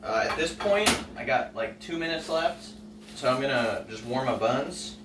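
A man's voice: mid-range voice, lively intonation, moderate pace. A young man in his twenties, chatting casually with lots of fillers as he cooks and tastes food in a home kitchen. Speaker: home cooking vlogger. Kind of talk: casual cooking vlog. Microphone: camera on the counter, across the kitchen. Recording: roomy, distant camera audio with noise.

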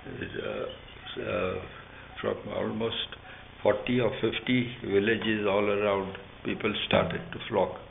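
An older man slowly recalling past work in an interview, pausing often with fillers. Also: fairly low pitch, gravelly. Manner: halting, with many pauses and fillers. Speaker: interviewee recalling clinic history. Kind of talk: slow recollection in an interview. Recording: tinny lo-fi sound with faint hiss.

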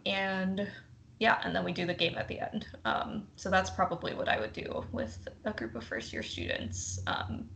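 Young woman with a creaky voice. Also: on the low side, fairly bright tone, slight background noise, rough recording. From Mississippi. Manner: chatty, frequent ums and likes. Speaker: campus prevention educator. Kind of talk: casual explanation on a video call. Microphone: video call.

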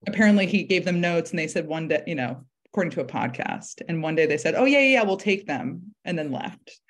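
A woman's voice: lowish voice, animated, brisk. A woman talking casually as a panel guest on a video call, thoughtfully rephrasing as she goes.

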